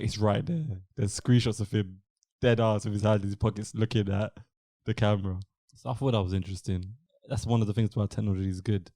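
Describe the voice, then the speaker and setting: Low-voiced, flat English young man. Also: no echo, studio quality. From England. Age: young adult. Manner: relaxed, rambling, many fillers. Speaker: podcast host. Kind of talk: casual podcast chat.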